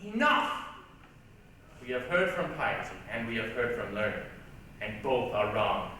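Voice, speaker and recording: A man's voice: fairly low pitch, very animated, measured pace, pushed and bright, recorded from a distance, heavily acted. A man acting an angry, imperious pope on stage, declamatory and commanding. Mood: indignant, commanding. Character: angry, imperious pope rebuking a defiant painter. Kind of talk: stage reader's theatre acting. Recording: echoey hall from afar, hissy 1980s recording.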